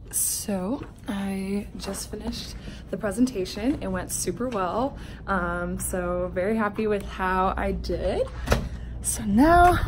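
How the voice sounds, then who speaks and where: A woman's voice: mid-range voice, a little mellow, a little hiss or hum. A woman in her twenties, from Canada, speaking straight to the camera in a casual, upbeat vlog. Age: 20s. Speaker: vlogger and Olympic swimmer. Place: indoors, talking to the camera.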